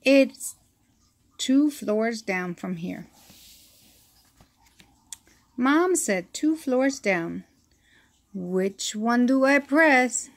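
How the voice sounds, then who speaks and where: A woman reads a children's picture book aloud at a very slow, deliberate pace. Her voice sits fairly high and has a creaky edge, and her delivery is expressive. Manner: slow, expressive reading with acted-out sound effects.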